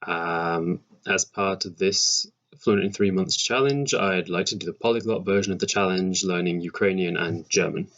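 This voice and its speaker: A young man speaking hesitantly and in a measured way in an informal introduction to camera at home. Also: deep voice, a bit bright. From Sheffield, England. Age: young adult. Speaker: language learner. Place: home room with bookshelves, indoors. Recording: dull, lo-fi webcam sound.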